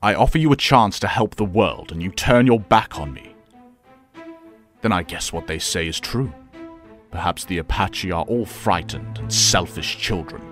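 A man playing a solemn, persuasive middle-aged professor and mentor in an audio drama, speaking in measured, formal phrases. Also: deep voice, lively intonation, a bit bright, soft background music.

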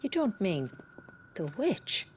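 An actress playing a guarded, sharp-tongued young sorceress with a dry, deliberate manner in a fantasy game. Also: female voice, mid-range voice, sing-song, a little hiss or hum. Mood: wary. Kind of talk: dramatic fantasy video game acting.

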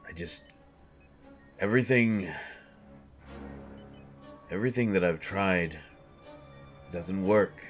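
Man doing a gruff coach turning into a monster, halting speech, soft music underneath. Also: deep voice. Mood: hungry, troubled. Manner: halting, hesitant, repeating himself. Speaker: audio drama voice actor. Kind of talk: audio roleplay monologue.

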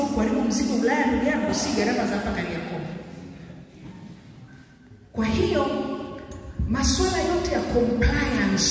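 A middle-aged woman with a Tanzanian accent, giving an animated public lecture on a handheld mic. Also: fairly high pitch. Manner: animated, gestures while walking the stage. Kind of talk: public lecture mixing Swahili and English. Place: large hall with stage. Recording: echoey hall recorded from far off.

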